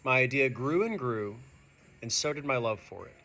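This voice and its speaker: A male teacher reads a storybook for children in a bright, mid-pitched voice. His intonation is very animated and expressive, addressed straight to young listeners. Soft music sits faintly behind him. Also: normal speed. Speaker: teacher reading a picture book to students. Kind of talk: animated children's read-aloud.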